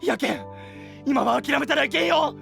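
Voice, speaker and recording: A man's voice: very high voice, normal speed, vocal fry, pushed and bright, faint music behind, exaggerated voice. A man playing an earnest, encouraging young knight in a voice drama, heartfelt and pleading, with an Oita dialect from western Japan. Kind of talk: anime-style voice drama acting.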